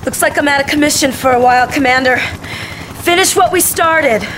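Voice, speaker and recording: A woman's voice: high voice, brisk, close to an everyday voice. An actress playing a wounded but defiant young female commando in a game. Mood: pained, defiant. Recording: noisy battle with gunfire and helicopter.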